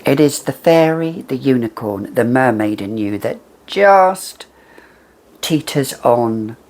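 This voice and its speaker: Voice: mid-range voice, expressive, slow, a little mellow. A perfume reviewer chatting casually and emphatically to camera, with a whimsical, conversational manner.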